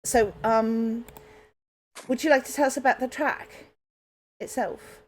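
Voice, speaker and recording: A woman with a husky, slightly breathy voice pitched on the high side hosts a radio show. She puts questions to a guest in casual conversation. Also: takes it slowly, rough recording. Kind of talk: casual interviewing.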